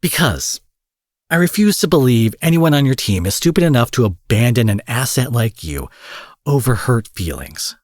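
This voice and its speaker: A man acting out a cocky, foul-mouthed young supervillain in an audio roleplay, husky, mocking and possessive, taunting his captive with drawled pet names. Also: mid-range voice, big pitch swings, normal speed, a little mellow, no echo, studio quality. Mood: mocking, insistent. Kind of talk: dramatic roleplay monologue addressed to the listener.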